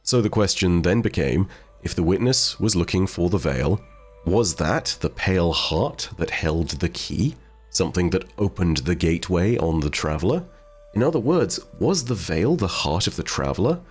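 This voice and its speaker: A man giving explanatory video game lore commentary to viewers in a measured, articulate, conversational way. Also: low-pitched, expressive, slightly rough. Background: faint music.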